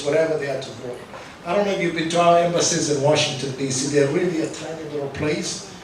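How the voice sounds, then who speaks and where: A man moderating a conference session, talking casually and a bit hurriedly with fillers. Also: fairly high pitch. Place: large conference hall. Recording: echoey hall, distant mic, noisy.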